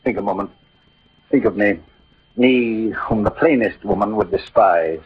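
A man's voice: on the low side, a little slow, slightly rough. An actor playing a proud, poetic, melancholy swordsman in a declamatory 1940s radio drama style. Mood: wistful. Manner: grandiloquent, declamatory, savouring verse. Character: Cyrano, swaggering poetic swordsman, proud, melancholy about his ugliness. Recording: muffled old radio recording, music behind.

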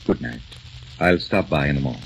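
Caring family doctor, low and earnest, 1940s radio drama, muffled recording with hiss. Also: male voice, expressive, a little fast, creaky, heavily acted. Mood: grave. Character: kindly, solicitous male family doctor.

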